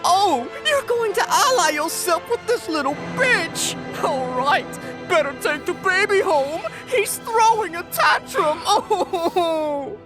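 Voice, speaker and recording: A smug villainous aristocrat in a cartoon parody. The voice is high and very animated, drawing out each taunt theatrically, with music playing clearly behind it. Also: female voice, heavily acted. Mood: gleefully mocking. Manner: taunting, drawn-out theatrical delivery. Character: gloating, mocking villainous noble rival. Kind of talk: comedic fan-dub voice acting.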